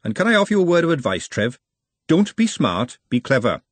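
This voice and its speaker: Man voicing a blunt, bossy woman, pitched up. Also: sing-song, no echo. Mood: snappish. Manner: brisk, scolding, no-nonsense. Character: blunt, bossy, caring working-class woman.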